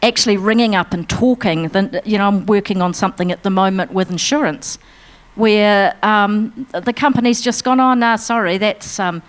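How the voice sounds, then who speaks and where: A woman on a conference panel, speaking earnestly and passionately about her work with many pauses and restarts, her voice a little worn. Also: medium pitch. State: losing her voice. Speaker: financial mentor panelist. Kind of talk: panel discussion answer. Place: conference room.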